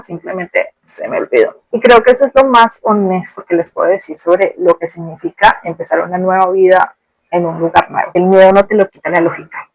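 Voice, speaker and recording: A woman's voice: fairly low pitch, dry recording, low-quality recording. A woman with a Colombian Spanish accent telling a personal story as a podcast host, in a reflective, conversational and colloquial way.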